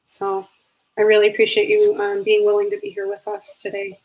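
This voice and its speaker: A woman's voice: mid-range voice, completely flat delivery, brisk, darkish tone, rough recording. A woman hosting a casual video interview, upbeat and encouraging, with lots of ums and you-knows. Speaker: host.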